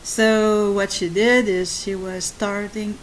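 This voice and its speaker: A woman with a soft, gentle voice and a non-native English accent speaks to her subscribers at a very slow pace. She pauses often, restarting her sentences and filling the gaps with "uh" and "um." A faint hiss sits under the recording. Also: mid-range voice, little pitch movement, rough recording. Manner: slow, halting, with many fillers and restarts. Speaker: channel host. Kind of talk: spoken monologue to subscribers.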